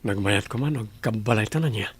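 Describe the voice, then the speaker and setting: A man playing a doting, easygoing young fiancé in an Ilocano radio drama, with a husky voice. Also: on the low side, fairly quick. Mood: affectionate. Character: affectionate young office worker, doting fiancé.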